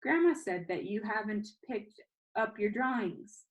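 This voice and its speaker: A woman reading aloud the part of a kindly but firm old grandfather in a storybook, plain-spoken and gently insistent. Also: medium pitch, expressive, measured pace, dull audio, lightly acted. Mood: casual, gentle.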